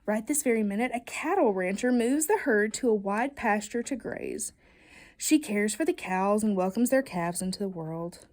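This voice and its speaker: A woman reading a picture book aloud to children in an expressive, emphatic storytelling manner. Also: medium pitch, moderate pace. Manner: expressive, emphatic storytelling delivery with playful questions. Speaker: storybook reader.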